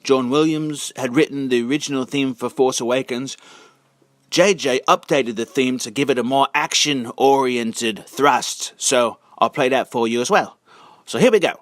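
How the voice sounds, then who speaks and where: A man giving a casual, halting monologue as a video narrator, drawling words for sardonic emphasis. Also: medium pitch, a bit bright, no echo, clean studio sound. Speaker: video narrator and amateur reader.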